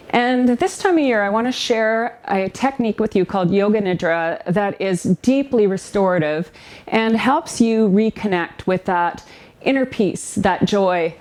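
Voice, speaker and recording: A woman with a mid-pitched voice narrates a guided relaxation practice. She gives gentle, soothing instructions in the manner of a meditation teacher, leaving unhurried space between her phrases. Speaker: yoga nidra relaxation guide, therapist.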